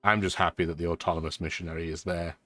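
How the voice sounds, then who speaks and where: A man's voice: sits low, level tone, quick pace, sharp tone, no room sound. A man with a stuffy, bunged-up voice giving casual, dry, chatty commentary as he plays a strategy game. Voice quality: congested, stuffy nose. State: lingering sore throat, blocked sinuses. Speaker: gaming video host. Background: background music.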